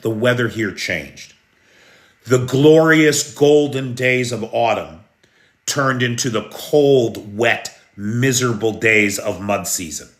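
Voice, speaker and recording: A slow-talking American man hosting a live news stream, with a bright, forceful edge to his voice. He takes his time, answering viewers' comments as he goes. His voice rings in an echoey room. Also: mid-range voice. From United States. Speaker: news livestream host. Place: room in Kyiv during air alert.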